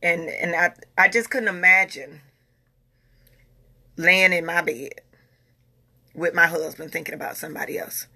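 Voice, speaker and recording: Woman in her 40s, raspy bright voice, just chatting to her followers, earnest, keeps repeating herself. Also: lowish voice, steady tone, unhurried, long pauses. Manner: earnest, repeats herself, talks in a Southern US dialect. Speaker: vlogger. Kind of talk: casual talk to followers.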